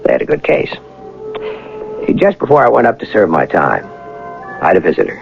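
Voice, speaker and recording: A man's voice: deep voice, huge pitch swings, a little fast, gravelly, full character voice. An actor playing an educated, proud young mastermind bank robber who narrates his story in a polished, reflective old-time radio drama style. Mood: rueful. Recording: dull vintage radio broadcast, music underneath.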